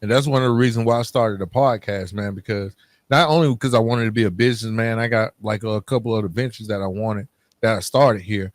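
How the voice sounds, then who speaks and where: A man's voice: fairly low pitch, quick pace, dry recording, studio quality. A man speaking as a podcast host in a casual, candid, colloquial way, reflecting on personal experience.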